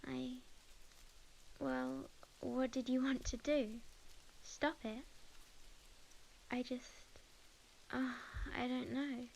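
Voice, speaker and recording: High-voiced, tender young witch, acted by a woman, Australian accent. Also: level tone, very slow, gravelly, pauses often, a little hiss or hum, full character voice, rough recording. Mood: surprised, hesitant. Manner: soft, hesitant, sometimes dropping to a whisper. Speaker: ASMR audio roleplay performer. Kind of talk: soft, intimate ASMR romance roleplay.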